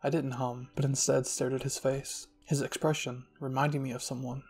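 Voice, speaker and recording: Casting: male ASMR story reader, soft scripted narration, creaky and husky, over faint music. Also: medium pitch, little pitch movement, moderate pace. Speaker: fan fiction reader and channel host.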